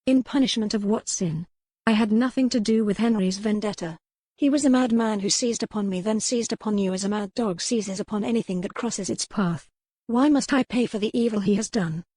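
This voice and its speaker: A woman's voice: mid-range voice, brisk, dark tone, dry recording, close to an everyday voice. A woman voicing a proud, resolute young noblewoman in a romance audiobook, impassioned, with formal old-fashioned phrasing. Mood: indignant. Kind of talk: dramatized romance audiobook dialogue.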